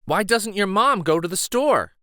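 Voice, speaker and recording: A man's voice: high voice, expressive, normal speed, bright, forceful tone, no echo, clean studio sound, pitched above the performer's own voice. A man voicing an earnest, curious young girl in a picture-book story, exclaiming and questioning expressively. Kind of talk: expressive children's read-aloud character voice.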